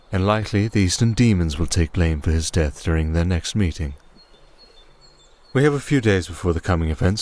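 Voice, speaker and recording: A man playing a cold vampire archduke and crime lord in an ASMR roleplay, speaking in a measured, formal, condescending way. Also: deep voice, darkish tone, close to an everyday voice. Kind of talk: ASMR roleplay audio drama.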